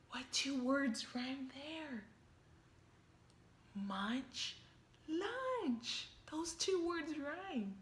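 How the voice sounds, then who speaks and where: Slow, high-pitched woman reading to children. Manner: deliberate, animated, instructive. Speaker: teacher reading a storybook aloud. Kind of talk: expressive children's read-aloud with questions to listeners. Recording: roomy, echoey sound.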